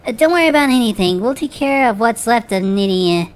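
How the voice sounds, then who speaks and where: A woman voicing an earnest, excitable female pony magician with a theatrical manner that moves between hushed and shrieking, in an animated fan drama. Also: on the high side, fast, close to an everyday voice. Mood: eager, awkward. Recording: echoey room, long reverb, background hiss.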